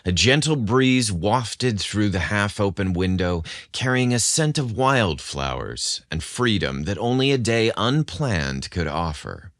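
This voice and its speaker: Deep-voiced male podcast storyteller. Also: very animated, measured pace, few pauses, fairly bright tone, no echo, clean studio sound. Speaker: podcast host and narrator. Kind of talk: scripted story narration.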